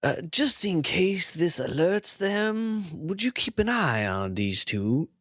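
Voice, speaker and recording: Courteous, formal male sharpshooter, quietly composed, for tabletop roleplay, played by a man. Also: sits high, very animated, slow pace, dry recording, studio quality, voice pushed up, heavily acted. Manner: formal, hushed, genteel wording. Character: polite, formal, composed male rebel sniper.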